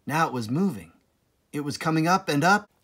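A man narrating a children's storybook aloud in a dramatic, deliberate manner with suspenseful pauses. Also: fairly high pitch, lively intonation, fairly bright tone. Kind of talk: expressive children's storybook reading.

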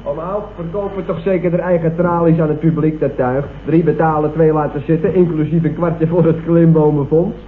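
A mocking young rebel nephew played by a male actor in a vintage radio comedy. His fairly high voice needles sardonically. It comes through a very thin, faintly noisy old broadcast. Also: fairly even intonation, fairly quick, few pauses, close to an everyday voice. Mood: scornful. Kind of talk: 1970s Dutch radio comedy acting. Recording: old tinny radio recording, faint noise.

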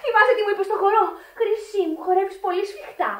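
An actress playing an eager, lovestruck teenage girl in a Greek radio drama, girlish and impulsive. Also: very high-pitched. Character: eager, lovestruck teenage Italian-immigrant girl, pleading daughter. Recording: roomy, distant old radio recording.

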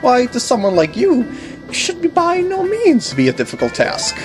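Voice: sits high, huge pitch swings, normal speed, music in the background, pitched above the performer's own voice. A game commentator voicing an eerily polite, pleading travelling mask salesman from a video game, in a courteous, theatrical manner. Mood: coaxing.

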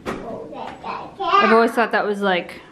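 A young adult woman casually walking through a house under renovation on a handheld phone, talking in a relaxed, rambling way with restarts. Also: a little high, takes it slowly, background noise. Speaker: vlogger giving a home tour. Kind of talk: casual walkthrough narration. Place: indoors, empty rooms of a house under renovation. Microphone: handheld phone camera.

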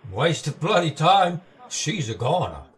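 A gruff, dismissive onlooker on the shore acted by a man in an old-time radio play. The voice is very rough and husky, fairly high, with lively pitch. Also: unhurried, heavily acted.

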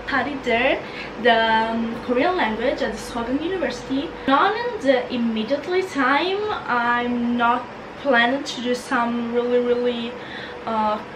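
A woman's voice: on the high side, noisy background. A twenty-year-old woman with an Italian accent, chatting casually to camera in her bedroom, often repeating and restarting words. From Italy. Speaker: vlogger. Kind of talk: casual Q&A chat. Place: bedroom, indoors.

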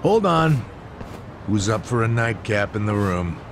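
Gruff, easygoing middle-aged male sidekick for a game, fry-heavy and animated. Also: fairly low pitch, unhurried, background noise. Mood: jovial. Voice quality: gravelly. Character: gruff, jovial middle-aged buddy, laid-back and confident. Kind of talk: video game voice acting. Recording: loud music behind the voice.

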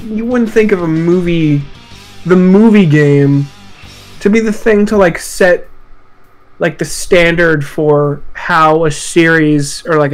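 A man talking casually and loosely about video games in a group podcast, rambling and swearing as he goes. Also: on the high side. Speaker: podcast panelist. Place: online group voice chat. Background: guitar music.

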